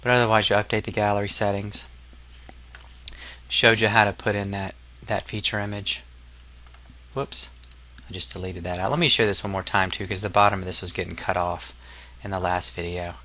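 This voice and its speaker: A man narrating a casual software tutorial as an instructor, explaining step by step in an informal, somewhat hesitant way. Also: fairly low pitch, fairly even intonation, moderate pace.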